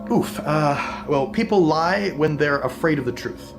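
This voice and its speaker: An actor playing a bewildered, wisecracking everyman with a casual, excitable manner in a fantasy audio comedy. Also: fairly high pitch, unhurried, music in the background. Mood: puzzled.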